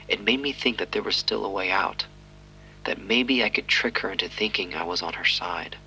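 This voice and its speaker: An actor playing a guilt-ridden police detective giving a grave, terse confession in a crime audio drama. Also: male voice, sits low, sharp tone, slight background noise, full character voice, rough recording. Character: guilt-ridden, weary but determined male police detective.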